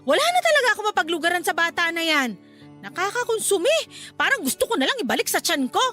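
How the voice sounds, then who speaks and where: A naggy strict filipino mom, super high voice, scolding, radio drama w/ faint music. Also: female voice, big pitch swings, fast, sharp tone, heavily acted. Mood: exasperated. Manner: scolding, exasperated, sermonizing. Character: stern nagging Filipino mother, hardworking market vendor. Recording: music bed behind the voice.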